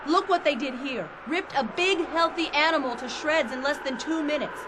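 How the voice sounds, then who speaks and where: An actress playing an outspoken, skeptical physician at a mining colony in a radio drama, with a blunt, argumentative manner. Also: female voice, high-pitched, moderate pace, close to an everyday voice. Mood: tense, analytical. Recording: noisy ambience behind the voice.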